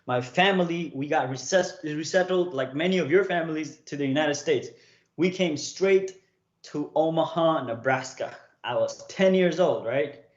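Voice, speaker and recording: Young man from Nepal, casual livestream host, slightly raised pitch, chatty and checks in with viewers often; roomy, muffled sound. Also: normal speed. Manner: chatty, keeps checking in with "okay?" and "right?". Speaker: streamer coaching viewers.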